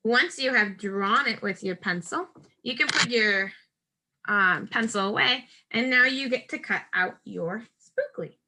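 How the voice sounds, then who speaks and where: A woman's voice: fairly high pitch, measured pace, pushed and bright, slight background noise, poor audio. A woman teacher giving a step-by-step craft lesson to first graders, speaking directly to children in simple, patient instructions.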